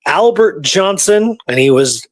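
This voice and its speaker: A man's voice: high voice, lively intonation, unhurried. A man co-hosting a casual podcast, talking in an enthusiastic, emphatic storytelling manner. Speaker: podcast co-host.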